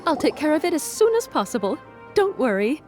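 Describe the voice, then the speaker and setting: A woman's voice: sits high, sing-song, brisk. A woman playing a polite, dutiful official with a deferential manner in an anime game. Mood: polite, attentive. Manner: courteous, deferential. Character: polite, dutiful female official at a records desk. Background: background music.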